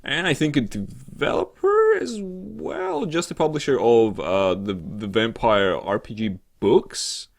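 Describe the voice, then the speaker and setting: A man co-hosting a casual gaming talk show, rambling and halting, with an irreverent, sarcastic, sweary way of talking. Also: mid-range voice, sing-song, creaky. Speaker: co-host of a gaming news show. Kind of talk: casual chat about games news.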